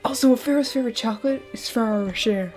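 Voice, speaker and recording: A woman performing a comedic dub of a webcomic with a brisk, playful delivery and sudden loud outbursts. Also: fairly high pitch, faint music behind. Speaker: fan dubber voicing a webcomic. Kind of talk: comedic comic dub, quick skits.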